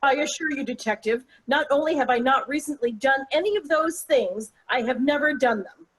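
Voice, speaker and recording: A woman's voice: high voice, normal speed, a bit bright, poor audio. A woman playing an exasperated, indignant older wife and mother with dry formality in a table read. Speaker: actor in a virtual table read. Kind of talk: stage comedy-drama table read.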